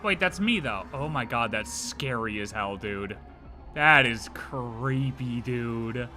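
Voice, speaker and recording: An excitable streamer giving casual live reactions to a game, slangy and full of exclamations. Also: medium pitch, slow, soft background music.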